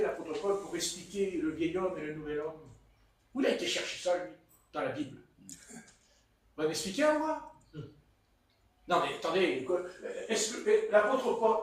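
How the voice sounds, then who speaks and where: A man with a Marseille accent preaches in a lively, high voice, his pitch leaping around as he tells stories playfully. The sound is very echoey, as if caught from far across a church hall. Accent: Marseille French. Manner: animated, playful storytelling with chuckles. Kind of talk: sermon.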